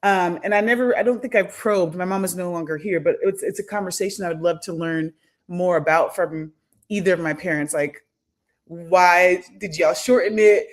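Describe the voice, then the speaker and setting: A woman in her thirties, an academic guest on a podcast, talking reflectively with frequent pauses and restarts. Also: fairly low pitch. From United States. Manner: thoughtful, many fillers and restarts. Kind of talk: reflective conversational interview.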